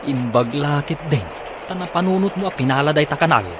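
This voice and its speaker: An actor with an Ilocano accent playing an easygoing village husband in a radio drama. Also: male voice, on the high side, lively intonation, brisk, background noise, close to an everyday voice. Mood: easygoing, chatty.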